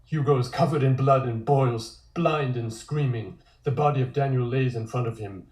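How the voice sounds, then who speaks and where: A man's voice: medium pitch, normal speed, a little mellow. Echoey male narrator reading a horror script. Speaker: narrator reading stage directions. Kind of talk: scripted comic-script narration at a table read. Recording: roomy, echoey sound.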